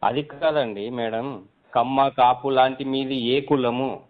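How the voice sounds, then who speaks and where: A man's voice: mid-range voice, steady tone, slow pace. A man, a reporter speaking deferentially in a phone interview. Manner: deferential, repeatedly saying madam. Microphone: phone line. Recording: noisy, tinny phone call recording.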